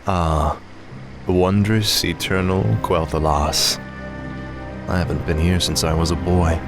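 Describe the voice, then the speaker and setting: A haughty death knight who sneers at his enemies and barks orders, his voice low and hard. Loud game music rolls under every line. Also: fairly even intonation, slow. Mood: cold. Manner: cold, arrogant, commanding. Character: arrogant male death knight, fallen prince. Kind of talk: video game cutscene acting.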